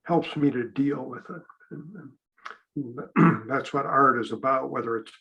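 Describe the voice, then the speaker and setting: A male poet speaking in a measured, reflective way on a discussion panel. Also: mid-range voice, creaky, highs cut off. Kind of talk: panel discussion after a reading.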